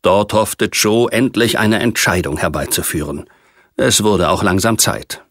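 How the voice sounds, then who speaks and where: Gravelly, low male story narrator. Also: a little slow, a bit bright, no echo, studio quality. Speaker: audiobook narrator. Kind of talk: scripted narration of science fiction.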